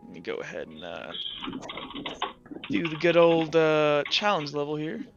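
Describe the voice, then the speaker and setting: A man's voice: fairly high pitch, very animated, a little slow, vocal fry, music in the background. A man casually narrating a tabletop roleplaying game as game master, over a dull lo-fi recording.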